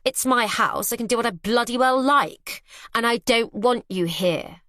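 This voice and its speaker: A woman's voice: on the high side, unhurried, fairly bright tone, no echo, clean studio sound, voice pushed up. A woman reading an audiobook, voicing a haughty, arrogant young woman who talks down with contempt. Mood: imperious. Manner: imperious, contemptuous. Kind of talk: audiobook character voice.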